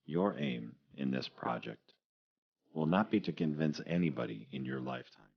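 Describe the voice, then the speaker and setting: An extremely low, husky voice on a dull recording, a man acting as a cold official from the future. He is formal and measured in this radio drama, ominous in every phrase. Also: expressive, full character voice. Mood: cold, solemn.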